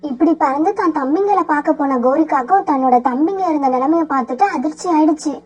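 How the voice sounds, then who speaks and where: A woman narrating a children's cartoon story in a steady, even way. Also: high-pitched, fast talker, dull audio.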